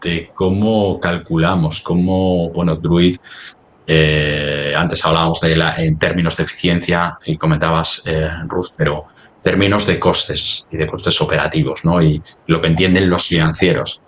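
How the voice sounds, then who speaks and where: A man from talking business conversationally, halting and rephrasing as he goes, often ending on tag questions. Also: sits low, level tone. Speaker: company representative in a webinar.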